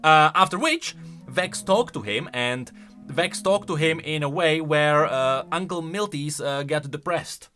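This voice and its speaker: A man's voice: a little high, animated, a little slow, sharp tone, soft background music. A man hosting casual video game commentary, emphatic and thinking aloud as he goes. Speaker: video creator and host.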